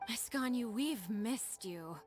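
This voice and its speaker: A woman's voice: fairly high pitch, animated, slow. A woman voicing an earnest, devoted young clerk who speaks with heartfelt sincerity. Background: game background music.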